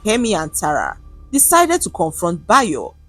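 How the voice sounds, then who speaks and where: A woman with a Nigerian accent narrating a scripted story in an expressive, dramatic manner. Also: lowish voice, a little slow, no echo, soft background music.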